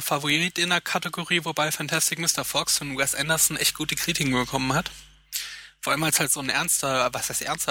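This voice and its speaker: A man co-hosting a casual podcast, talking in a very bright, clear tone at an ordinary pitch. He rambles a little, fills pauses with hesitations and laughs easily. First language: German. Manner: chatty, hesitant with many fillers, quick laughs. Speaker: podcast co-host. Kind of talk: casual chat.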